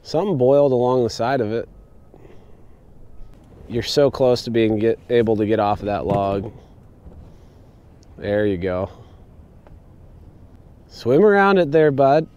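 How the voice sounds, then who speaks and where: Brief: man, mellow easygoing voice, joking fishing banter out on a boat. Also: mid-range voice, lively intonation, unhurried, long pauses, highs cut off. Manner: joking, coaching, teasing. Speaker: fishing guide and channel host. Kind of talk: casual banter while fishing. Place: small boat on a wide river outdoors.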